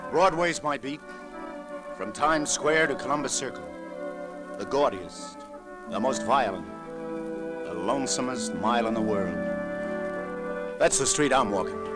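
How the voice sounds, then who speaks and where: An actor playing a streetwise, humane New police detective in old-time radio noir style, colloquial and a little halting. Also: male voice, mid-range voice, lightly acted. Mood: brooding narration. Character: streetwise, world-weary New York police detective, hesitant yet humane. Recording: dry vintage radio drama recording.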